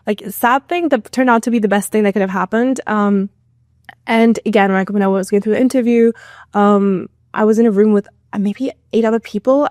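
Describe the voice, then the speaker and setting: A woman's voice: medium pitch, a little mellow, no echo, studio quality. A woman from Mongolia in her thirties, talking casually as an interview guest in a chatty way full of asides. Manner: chatty, with many fillers and asides. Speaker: guest, AI content manager.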